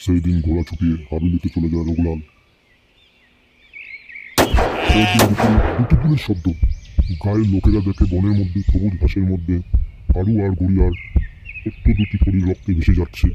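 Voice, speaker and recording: A man voicing an old male servant, gravely telling an eerie tale in a cartoon horror story. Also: low voice, steady tone, fast talker, muffled recording, music in the background, natural voice. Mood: sombre. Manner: grave, storytelling, Hindi-mixed Bengali wording.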